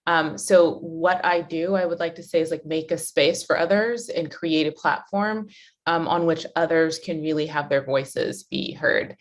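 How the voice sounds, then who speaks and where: A woman from Washington DC introducing herself on a panel over a video call, gracious and measured. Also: deep voice.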